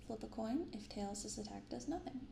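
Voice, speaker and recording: A soft-spoken woman hosting an ASMR unboxing, reading and commenting gently and without hurry. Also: fairly high pitch, animated, brisk, creaky, roomy sound, noisy background. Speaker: card unboxing video host. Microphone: binaural microphone close up.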